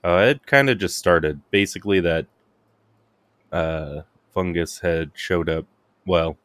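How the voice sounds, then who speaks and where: A man's voice: low-pitched, slow pace, a bit bright, no room sound. A man giving casual gameplay commentary as a streamer, muttering and thinking aloud. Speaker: game streamer.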